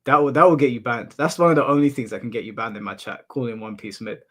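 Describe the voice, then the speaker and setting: A man from the UK with a monotone voice, casually chatting and answering his livestream chat, laughing off insults. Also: medium pitch, quick pace. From United Kingdom. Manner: relaxed, laughs off insults, addresses chat as bro. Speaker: streamer. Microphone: headphones in, desk mic.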